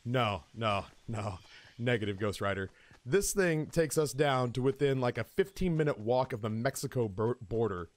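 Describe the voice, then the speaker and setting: A man streaming games who tells comic stories casually, in an animated, exaggerating and profane way. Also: mid-range voice, moderate pace. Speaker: game streamer. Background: music in the background.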